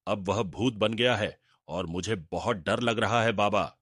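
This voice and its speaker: A man's voice: on the low side, a little slow, fairly bright tone, no room sound, studio quality. A man voicing a greedy, cowardly village moneylender in a Hindi cartoon. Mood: frightened pleading. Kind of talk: Hindi cartoon character dialogue.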